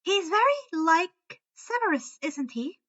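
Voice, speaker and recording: A woman's voice: very high voice, very animated, takes it slowly, sharp tone, dull audio, pitched above the performer's own voice, exaggerated voice. A woman voicing a dreamy, cheerful fairy girl who talks in an airy, sagely informative way. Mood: sagely musing.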